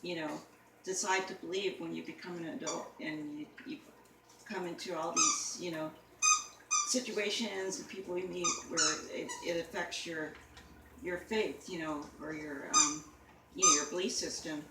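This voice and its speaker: A woman talking casually and haltingly, with many "you know" fillers. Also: fairly low pitch, steady tone. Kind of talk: casual conversation. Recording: echoey room, mic far off, noisy.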